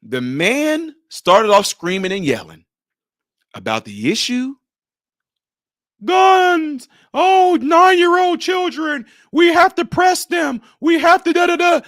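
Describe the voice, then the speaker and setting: A man with a high voice gives live-stream commentary on a clip he keeps pausing. He talks with emphatic, rhetorical energy. The sound is dry and close, with little room tone. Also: no room sound. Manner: animated, emphatic, rhetorical questions. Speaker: commentator reacting to a video clip.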